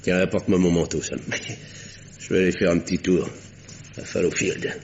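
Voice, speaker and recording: An actor playing a gruff, authoritative country doctor in an old French radio drama. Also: male voice, deep voice, flat delivery, normal speed, darkish tone, a little hiss or hum. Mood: stern, irritated. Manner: authoritative, scolding. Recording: dull vintage radio recording.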